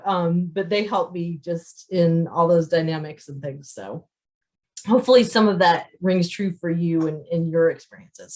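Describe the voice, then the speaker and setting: A woman chatting casually and effusively to viewers as an author hosting an unscripted question-and-answer livestream. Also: on the low side. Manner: effusive, often chuckles mid-sentence.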